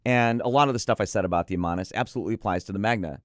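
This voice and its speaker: Deep voiced guy doing a chatty enthusiastic product review, super dry close sound. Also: rapid-fire, no room sound. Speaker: headphone reviewer co-hosting a product preview.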